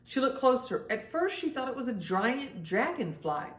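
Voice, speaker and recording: A woman reading a children's story aloud in an expressive storytelling style, speaking as if to young listeners. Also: on the high side, normal speed, reverberant. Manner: addresses young children directly, acts out dialogue. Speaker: children's storybook reader and host.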